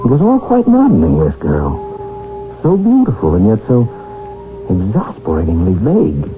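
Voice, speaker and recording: Deep-voiced lovestruck young gentleman, old radio. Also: huge pitch swings, moderate pace. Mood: wistful narrating. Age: young adult. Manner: formal, earnest, ardent. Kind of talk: radio drama acting with first-person narration. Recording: muffled vintage radio recording, music behind.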